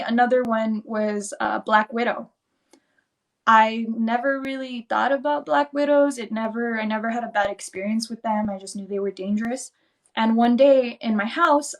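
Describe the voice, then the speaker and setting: Higher-voiced woman, rambling chat. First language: Spanish. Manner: fast, rambling explanations with many "like"s. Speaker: guest. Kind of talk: casual livestream chat.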